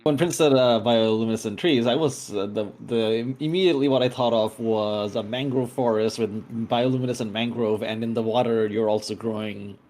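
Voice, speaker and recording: A man's voice: medium pitch, moderate pace, few pauses, darkish tone. A man brainstorming casually in a group chat as a player in a tabletop roleplaying game.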